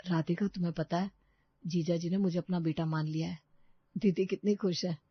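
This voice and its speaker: A woman playing a naive, gentle young male student in a cartoon story, hesitant, earnest and deferential. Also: on the low side, fairly even intonation, moderate pace, husky, dry recording, highs cut off.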